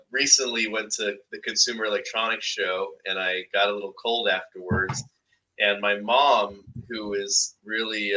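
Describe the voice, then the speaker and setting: Late-30s guy on a zoom call, bright punchy voice, kinda low, explains things calmly. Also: low-quality recording. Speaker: guest, business founder. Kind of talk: casual interview chat. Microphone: video call.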